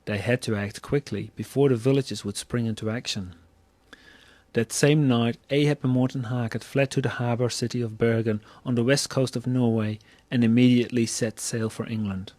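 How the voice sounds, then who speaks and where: A male storyteller reads scripted narration in a medium-pitched voice. The recording is close and dry, with no room sound. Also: fairly even intonation, moderate pace, a little mellow, no room sound.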